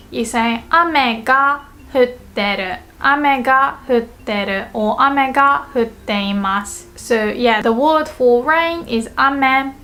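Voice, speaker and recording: A woman's voice: on the high side, fairly bright tone. A woman with a Japanese accent, a native Japanese speaker, giving a casual language lesson and patiently repeating example sentences.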